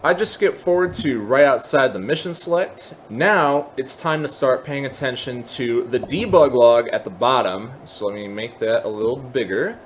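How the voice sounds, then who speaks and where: A man hosting a casual software tutorial, explaining things step by step in an informal way. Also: mid-range voice, normal speed, hardly any pauses, slight background noise. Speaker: tutorial host.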